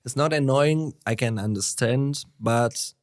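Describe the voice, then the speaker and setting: A man's voice: medium pitch, measured pace. A man from Italy with an Italian accent, chatting as a guest on a podcast and acting out what other people said. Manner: animated, mimics others in quoted asides. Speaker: guest guitarist. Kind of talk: casual podcast conversation.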